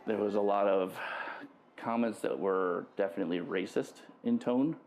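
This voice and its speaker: A very low male voice recounting his experience in an interview. He speaks carefully and hesitates with ums. A faint background hiss sits under him. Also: darkish tone, some chatter behind. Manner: measured and hesitant, frequent ums. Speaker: interviewee, military veteran. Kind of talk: interview account.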